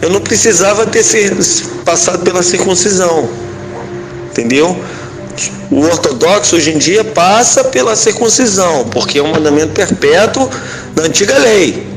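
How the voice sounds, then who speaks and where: A man giving informal religious teaching as a rabbi, halting and didactic, often checking that the listener follows. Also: sits high, lively intonation, over music. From Israel. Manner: didactic, halting, often seeks agreement with tags. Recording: clearly noisy, vehicle-like background sound.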